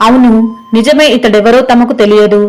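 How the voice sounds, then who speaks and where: A woman's voice: a little high, fairly even intonation, normal speed. A woman reading a scripted story as its narrator. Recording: music behind the voice.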